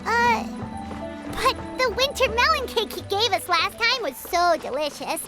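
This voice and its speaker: A woman voicing a tiny, chatty, childlike fairy companion in an anime video game, eager and upbeat with a squeaky voice. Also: big pitch swings, unhurried, over loud music, heavily acted. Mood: coaxing. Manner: speaks of self in third person.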